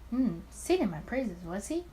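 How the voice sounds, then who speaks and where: A woman voicing a sly, smooth-talking, flirtatious male speakeasy owner in a theatrical drawl, in a visual novel game. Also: mid-range voice, sing-song, unhurried, mellow, a little hiss or hum. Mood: sly, teasing.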